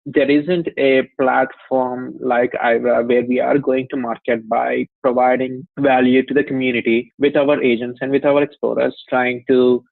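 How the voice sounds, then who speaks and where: A man's voice: medium pitch, flat delivery, moderate pace, gravelly, few pauses, no echo. Indian guy explaining tech stuff on a phone line, tinny, dry, lots of "you know". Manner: explanatory, talks at length with frequent "you know" and light laughs. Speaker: company CEO, guest. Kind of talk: interview conversation.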